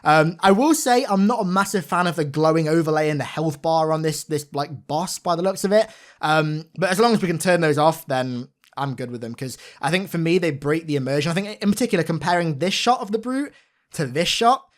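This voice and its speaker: A man giving an informal, enthusiastic gameplay breakdown as a gaming commentator, talking like an excited fan. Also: fairly high pitch, fairly quick.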